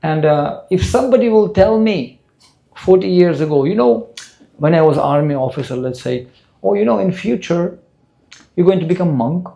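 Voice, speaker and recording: An older man with a Russian accent lectures a temple audience in earnest, building his stories with rising emphasis. His voice is rough and gravelly, with a dark, mellow color and a pitch a little above the usual for a man. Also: dull audio. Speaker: spiritual teacher.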